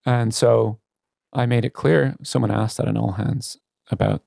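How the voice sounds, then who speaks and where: A man's voice: mid-range voice, steady tone, unhurried, vocal fry, dark tone, no echo, studio quality. A man speaking as a CEO guest in a candid podcast interview, earnest and emphatic about his opinions.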